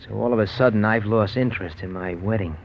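Low-voiced menacing gangster played by a man. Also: fast talker, close to an everyday voice. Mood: coldly menacing. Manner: slangy tough-guy delivery. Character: menacing male gangster, controlling and suspicious. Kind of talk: 1940s radio acting. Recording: muffled, noisy old radio recording.

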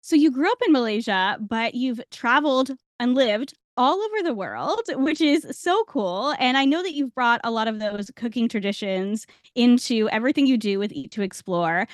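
Higher-pitched woman host in her thirties, upbeat, dry close mic. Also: no echo. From Rhode Island, US. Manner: enthusiastic, affirming. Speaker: podcast host. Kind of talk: casual interview conversation.

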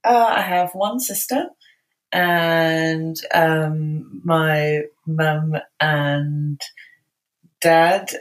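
A young woman from England, a musician guest talking candidly and bluntly in a casual interview, laughing often. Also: low-pitched.

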